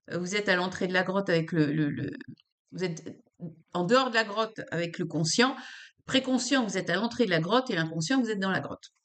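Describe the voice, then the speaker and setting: A woman with a fairly low-pitched voice explains psychology in an informal talk to camera. She speaks hesitantly, with many fillers, repeats words, and restarts her sentences. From France.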